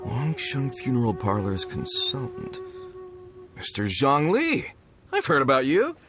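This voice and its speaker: An earnest older mine foreman in a video game. His speech is plain but animated, rising and falling freely, with music clearly audible behind it. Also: fairly high pitch, unhurried. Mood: awed. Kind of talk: video game character acting.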